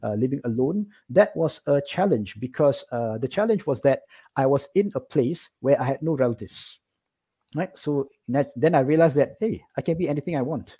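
A middle-aged man from Singapore with a Singaporean accent, telling personal stories casually as an interview guest, animated and often checking in with "right?". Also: mid-range voice, moderate pace, slightly rough.

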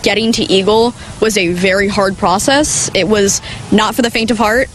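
A woman's voice: mid-range voice, steady tone, moderate pace, faint music behind. A young woman, around her late teens, giving earnest interview answers for a news report. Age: teenager or young adult.

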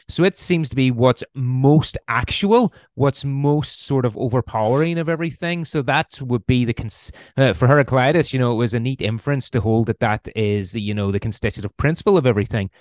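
A man's voice: mid-range voice, no room sound. Belfast-accented man explaining philosophy. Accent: Northern Irish, Belfast. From Northern Ireland. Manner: hesitant, many ums and restarts. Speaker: guest philosopher. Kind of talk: conversational explanation.

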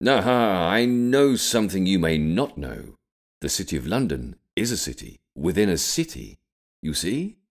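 A man's voice: sits low, very animated, moderate pace, pitched above the performer's own voice. A man voicing a teasing, declamatory grandfather who was a Shakespearean actor, in a narrated story. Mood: grandly teasing.

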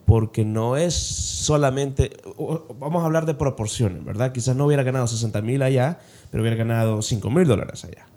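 A man's voice: medium pitch, brisk, few pauses, mellow. A man hosting a podcast interview, affirming his guest often and asking long, searching questions. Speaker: podcast host. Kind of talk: casual interview talk.

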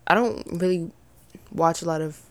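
A young woman with a slightly raspy voice and some vocal fry at sentence ends, chatting casually and giving her opinions as a podcast host. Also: lowish voice, moderate pace, no echo. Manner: informal, rambling, opinionated. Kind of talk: casual chatty solo commentary.

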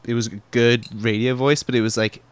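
A man's voice: medium pitch, little pitch movement, a little fast, smooth. A man co-hosting a podcast, rambling in casual chat full of fillers. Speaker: podcast co-host.

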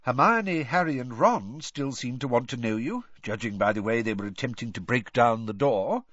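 A man voicing an old, kindly wizard headmaster, measured, courteous and dryly witty. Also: mid-range voice, animated, a bit bright, dry recording, lo-fi sound. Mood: gently amused. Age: older.

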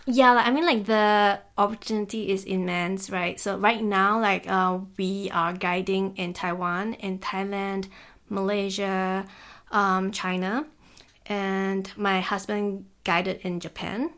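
A woman's voice: mid-range voice, steady tone, slow, a bit bright. Easygoing woman, chatty guest. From Singapore. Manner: easygoing, peppers speech with "like", laughs often. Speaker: guest, climbing guide. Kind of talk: casual interview chat. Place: office.

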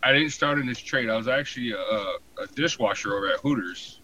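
An American man in his forties, telling his story casually in an interview with a halting, colloquial delivery full of "you know". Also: mid-range voice, pushed and bright, lo-fi sound. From San Diego, born in Hawaii. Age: 40s. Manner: halting, colloquial, frequent 'you know'. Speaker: podcast guest, fire protection inspector.

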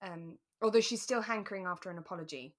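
A British woman co-hosting a relaxed chat podcast, telling stories with acted-out asides. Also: medium pitch, quick pace, a crowd in the background. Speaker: podcast co-host. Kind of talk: relaxed chat about a radio drama.

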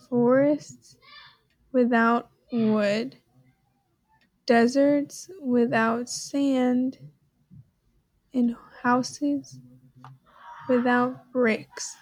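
A woman's voice: on the high side, drawn-out words, long pauses, dry recording, low-quality recording. A woman co-hosting a casual podcast from her parents' house, joking and exclaiming as she works through riddles. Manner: animated, exclaims, jokes around. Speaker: podcast co-host. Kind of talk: casual chat solving riddles.

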